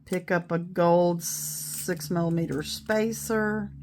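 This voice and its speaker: A middle-aged woman with a raspy voice after an illness, chatting casually and rambling a little while she shows how to make a beaded bracelet. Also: lowish voice, steady tone, slow, a little mellow, a little hiss or hum. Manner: chatty, rambling, self-deprecating. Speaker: beading video host. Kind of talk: casual tutorial chat.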